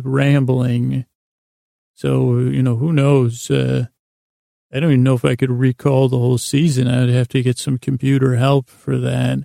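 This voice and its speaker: Male podcast host, heavy vocal fry and relaxed tone, delivering a meandering, self-interrupting bedtime monologue. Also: medium pitch, no room sound, studio quality. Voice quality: gravelly, creaky. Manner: meandering, halting, self-interrupting, gently silly.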